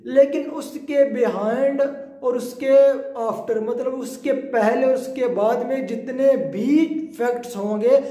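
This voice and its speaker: A man's voice: extremely high pitch, dark tone. A man with a Pakistani accent giving an explanatory law lecture, didactically repeating and rephrasing his points. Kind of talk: explanatory lecture in Urdu mixed with English legal terms. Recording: roomy, echoing sound.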